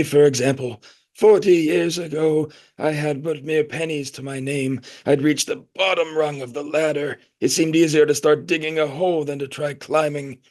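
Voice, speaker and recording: An actor playing a pompous, nostalgic, middle-aged leader of a secretive group in an audio play, speaking in a grandiose, rambling way. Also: male voice, a little high, a little mellow, lightly acted.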